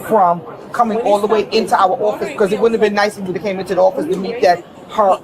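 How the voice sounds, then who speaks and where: A woman's voice: mid-range voice, fairly even intonation, fast, a crowd in the background. A woman giving a witness statement to police, recorded on a body camera. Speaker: airline employee, witness. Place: busy airport. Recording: crowded body camera audio.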